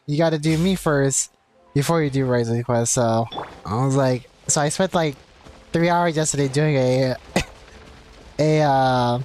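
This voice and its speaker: A man's voice: mid-range voice, measured pace, darkish tone. A young man livestreaming a game, chatting casually in a rambling, conversational way. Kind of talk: casual chat while gaming. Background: game music.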